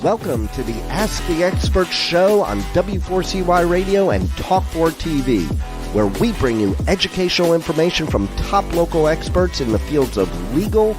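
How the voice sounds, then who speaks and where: Male announcer, scripted show intro, high animated pitch, music bed clearly audible. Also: moderate pace. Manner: energetic promotional delivery.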